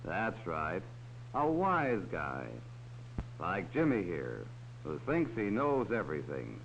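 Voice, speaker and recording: Male narrator for a 1940s kids' safety film, lively and patient, dull hissy sound. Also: sits low, slow. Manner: talks down to children. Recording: dull old film soundtrack with faint hiss.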